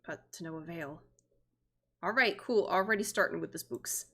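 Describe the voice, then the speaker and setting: A woman's voice: fairly low pitch, normal speed, a bit bright, husky. A woman streamer reading a visual novel aloud, expressively and theatrically, with joking asides. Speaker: streamer, virtual YouTuber.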